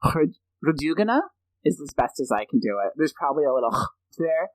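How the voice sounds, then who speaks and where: A man's voice: fairly high pitch, sing-song, fairly quick, no room sound, low-quality recording. A man guesting on a podcast, telling a story in a chatty, jokey way and reading quotes aloud. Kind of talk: casual storytelling chat.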